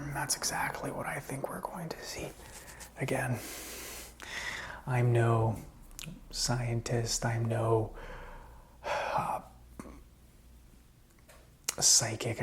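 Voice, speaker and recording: Soft whispery guy, acting a lonely rambling apocalypse survivor, asmr roleplay, pretty flat, bit of hiss or noise behind him. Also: mid-range voice. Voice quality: soft, breathy, often whispered. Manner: slow, hesitant, rambling, soothing. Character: lonely, rambling male survivor of zombie apocalypse, soothing and apologetic.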